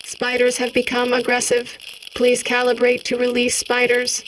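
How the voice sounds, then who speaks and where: A woman voicing a calm automated game system, announcing politely in a deadpan, measured, impassive way. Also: fairly high pitch, recorded from a distance, a little hiss or hum, close to an everyday voice, rough recording.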